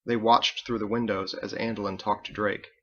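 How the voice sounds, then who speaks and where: A man's voice: fairly low pitch, level tone, normal speed, sharp tone, lo-fi sound. A man reading his own book as scripted audiobook narration.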